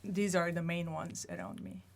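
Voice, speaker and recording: A woman from Lebanon, a native Arabic speaker and language instructor, chatting in a relaxed, matter-of-fact way. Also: fairly low pitch, normal speed, vocal fry. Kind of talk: casual chat.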